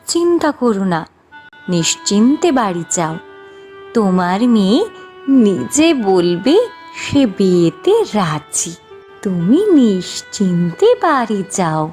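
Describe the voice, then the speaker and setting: High, coaxing village go-between. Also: female voice, darkish tone. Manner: coaxing, emphatic, reassuring. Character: confident, soothing village go-between reassuring an old woman. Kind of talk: Bengali fairy-tale cartoon dubbing.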